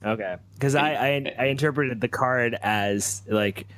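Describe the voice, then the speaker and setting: A man playing a tabletop roleplaying game, thinking aloud haltingly as he improvises his character's story. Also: mid-range voice, noisy background.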